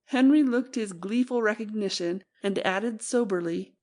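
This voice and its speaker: Mid-pitched female audiobook narrator. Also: normal speed, a little mellow.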